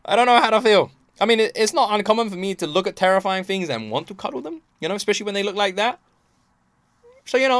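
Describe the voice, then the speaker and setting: A man's voice: sits high, a bit bright, no room sound. A man hosting a casual reaction video, rambling expressively and repeating himself for emphasis. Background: game soundtrack.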